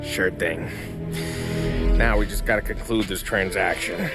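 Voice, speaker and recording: A man playing a brash, excitable 1930s American mob enforcer in a game, slangy and cocky. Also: sits low, a little slow, creaky. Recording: loud music behind.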